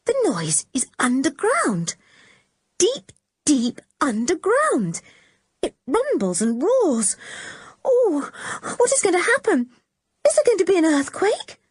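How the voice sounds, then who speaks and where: A woman voicing a frightened, excitable young girl who shrieks high and breathless. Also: normal speed, dry recording, clean studio sound.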